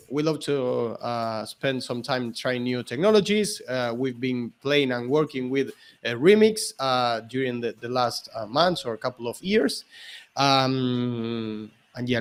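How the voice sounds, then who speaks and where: A man co-hosting a casual developer livestream, explaining in a halting way with frequent restarts. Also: medium pitch, clean tone. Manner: halting, restarts and repeats words often. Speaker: developer relations engineer, co-host.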